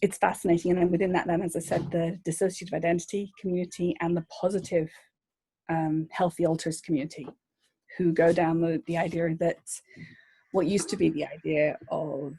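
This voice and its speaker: A woman journalist answering interview questions, thoughtful and hesitant, with frequent pauses and restarts. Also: lowish voice, level tone, mellow, a little hiss or hum, low-quality recording. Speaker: journalist guest.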